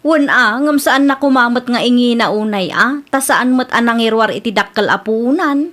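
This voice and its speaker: A woman's voice: a little high, moderate pace, a bit bright, close to an everyday voice. A woman playing an eager, persuasive housewife in a radio comedy drama, with a coaxing, conversational manner.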